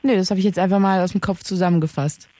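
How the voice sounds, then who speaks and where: A woman's voice: on the low side, fairly even intonation, dark tone, no room sound. Female call-in host, playful and needling, dead dry studio mic. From Saxony, Germany. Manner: teasing, quick-witted, bantering. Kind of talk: call-in radio chat.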